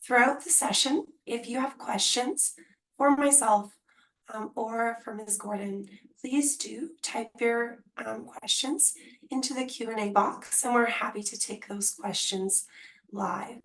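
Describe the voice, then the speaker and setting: A woman's voice: fairly high pitch, unhurried, rough recording. A woman who has lost much of her voice, hosting an online information session as an associate dean and enunciating carefully over a video call. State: losing her voice.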